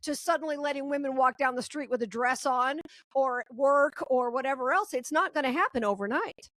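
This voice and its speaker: Emphatic higher-voiced woman host, dry sound. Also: brisk, a bit bright, no room sound. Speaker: talk show host. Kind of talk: interview.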